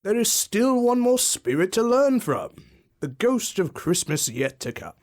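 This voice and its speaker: An actor voicing a jolly, booming male Christmas spirit in a student radio drama. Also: sing-song, a little slow, darkish tone. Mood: solemn. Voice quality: booming, laughing.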